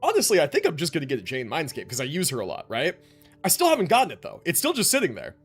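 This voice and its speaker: Man, livestream host, slightly high voice, lively intonation, informal chat with viewers, repeats phrases, faint music behind. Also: quick pace, slightly rough. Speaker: live streamer host.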